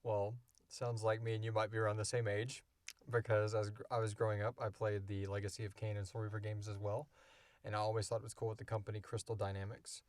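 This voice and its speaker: A man with a fairly low voice, recorded dry and close. He talks like a podcast host in a casual chat, rambling enthusiastically and joking as he goes. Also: little pitch movement, fast talker, no echo.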